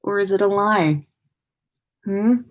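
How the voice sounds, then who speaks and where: A woman's voice: mid-range voice, takes it slowly. An American woman hosting a casual livestream from her room, rambling informally and playfully, sounding tired. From United States. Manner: informal, rambling, playful with sing-song asides. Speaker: podcast host livestreaming. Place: own room at home.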